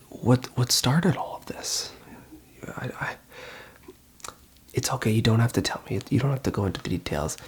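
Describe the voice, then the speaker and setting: An ASMR host whispering in a breathy voice, giving reassuring, unhurried advice as a call centre phone counsellor. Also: mid-range voice.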